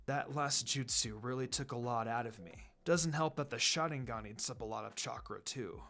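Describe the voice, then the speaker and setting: A man's voice: mid-range voice, moderate pace, pushed and bright, faint music behind, voice pushed down. A man voicing a tired, wry male ninja teacher in a story reading. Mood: weary, wry.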